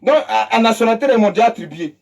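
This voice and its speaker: An Ivorian-accented commentator delivers a fiery political monologue with a husky, breathy edge. The talk is emphatic and scornful, circling back to the same phrases to drive each point home. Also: medium pitch, rapid-fire. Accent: Ivorian French. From Côte d'Ivoire. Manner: emphatic and mocking, repeats phrases for effect.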